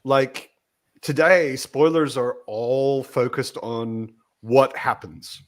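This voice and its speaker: A man's voice: mid-range voice, slow pace. A man chatting casually as a panelist in a book discussion, often voicing imagined reactions.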